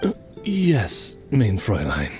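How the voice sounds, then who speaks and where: An actor playing a courtly, deferential talking raven attendant in an anime video game, with pompous formality. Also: male voice, on the low side, huge pitch swings, takes it slowly, darkish tone, faint music behind, full character voice. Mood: concerned, deferential.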